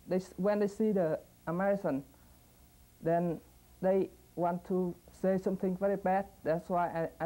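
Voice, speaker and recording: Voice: medium pitch, mellow, low-quality recording. A speaker from Vietnam with a Vietnamese accent, speaking hesitant, broken English in an interview. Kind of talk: personal testimony.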